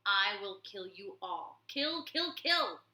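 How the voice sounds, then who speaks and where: A cruel rat warlord from a storybook, acted out by a woman, boastful and declamatory. The delivery is slow and forceful, with a roomy sound around it. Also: mid-range voice, very animated, exaggerated voice. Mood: furious. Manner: declamatory, shouted threats.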